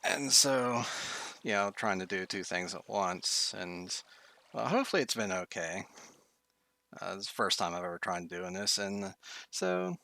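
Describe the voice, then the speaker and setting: A man's voice: low voice, expressive, unhurried, gravelly, pushed and bright. A man streaming a game tutorial from his bedroom, thinking aloud with many fillers, his voice getting tired.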